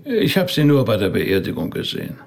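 A man playing a thoughtful, discreet rabbi in a radio drama, reserved and conversational as he quietly probes. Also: medium pitch, wildly swooping pitch, a little fast. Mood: reserved, probing.

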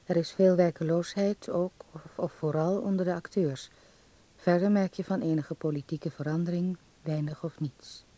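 An actor playing a young acting student calmly voicing a letter to his mother in a radio drama, measured and confiding. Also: fairly high pitch, lightly acted.

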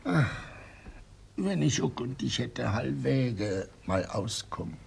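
An actor playing a timid, weary poor weaver, pleading and hesitant in a creaky voice, in a German radio play. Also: male voice, mid-range voice, expressive, slow pace, a little hiss or hum, heavily acted. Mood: meek.

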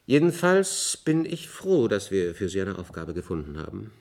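Radio drama: formal, authoritative leader of underground survivors, low register, measured and fatherly delivery. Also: big pitch swings, gravelly, darkish tone, full character voice. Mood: official, earnest. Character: authoritative male senior official of an underground survivor community. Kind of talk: German science-fiction radio drama acting.

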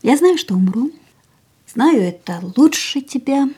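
Radio drama cool young lover, detached and fatalistic, lightly high pitch, velvety rounded tone. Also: female voice, lively intonation, unhurried, mellow. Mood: fatalistic, intense. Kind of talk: radio play acting. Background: music.

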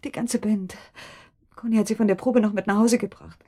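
An actress playing an anxious, shaken wife and mother in a radio drama, breathy and husky, halting and repeating her words with intensity. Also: mid-range voice, steady tone, warm tone, lightly acted. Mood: tense, insistent.

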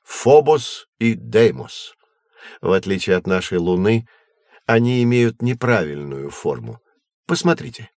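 An actor playing a patient, knowledgeable teacher in an educational audio drama, explaining in measured, clear phrases. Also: male voice, fairly low pitch, lively intonation, darkish tone, no echo, clean studio sound. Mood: explanatory. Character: patient, knowledgeable male astronomy teacher guiding children.

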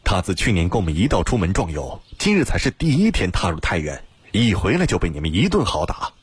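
A man voicing a proud, sardonic young gentleman in an audiobook, with a haughty manner. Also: low-pitched, big pitch swings, a little fast, slightly rough, no echo, heavily acted. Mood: cold sarcasm.

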